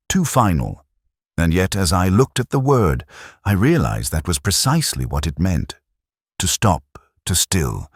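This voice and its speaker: A man playing a reflective, melancholy middle-aged detective, narrating his case in measured, solemn literary prose. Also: rumbling low, slightly rough, no echo, clean studio sound, heavily acted. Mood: wistful, resigned. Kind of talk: literary first-person scripted narration.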